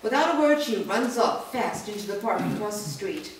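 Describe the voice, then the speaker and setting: A woman's voice: mid-range voice, animated, moderate pace. A woman reading scripted stage directions at a staged reading, recorded in an echoey room. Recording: echoey room, distant mic, faint noise.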